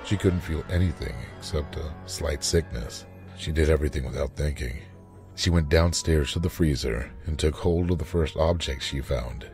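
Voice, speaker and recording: A man narrating a scripted story in a measured, dramatic manner. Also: very deep voice, creaky, darkish tone, soft background music.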